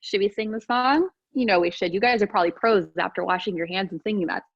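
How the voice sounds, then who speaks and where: A woman teacher reading aloud to young children in an expressive, sing-song, encouraging way. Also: medium pitch, fast, no room sound, dull audio. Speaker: preschool teacher reading storytime.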